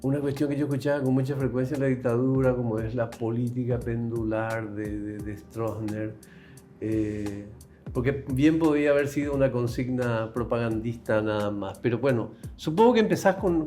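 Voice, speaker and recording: A man's voice: mid-range voice, normal speed, warm tone, soft background music. A man hosting an interview, asking long questions with many hesitations and repeated words.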